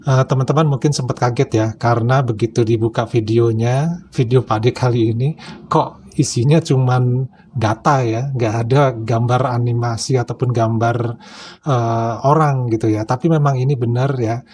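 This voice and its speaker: A man's voice: medium pitch, fairly even intonation, normal speed, darkish tone, slight background noise. A man hosting a video, giving casual explanatory commentary. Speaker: host of a reaction video channel.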